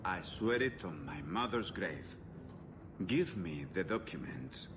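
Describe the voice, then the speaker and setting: Game villain: courtly, mocking crime lord, low and highly animated, over noisy ambience. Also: male voice, slow. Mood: curt, bargaining. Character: smooth, theatrical male slaver crime boss. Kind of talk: video game voice acting.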